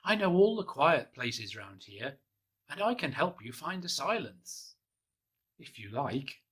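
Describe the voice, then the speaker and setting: A man gently reading a children's picture book aloud in the first person, in a soft, hushed and unhurried way. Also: medium pitch, very animated, breathy edge. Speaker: storybook reader.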